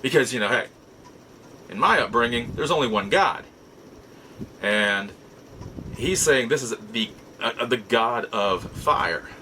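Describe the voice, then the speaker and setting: A man telling a personal story in a casual, halting way, as host of a nostalgia show. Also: medium pitch, animated, sharp tone, a little hiss or hum, poor audio. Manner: halting, repeats and restarts words as he goes.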